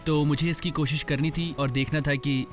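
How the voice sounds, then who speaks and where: Brief: male cadet, slightly high voice, interview, music bed. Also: steady tone, moderate pace.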